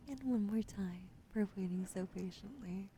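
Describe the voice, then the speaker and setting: A young woman whispering softly and slowly to viewers on an ASMR stream, close to a sensitive microphone at her desk. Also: mid-range voice, slight background noise. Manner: soft unvoiced whisper, slow and soothing.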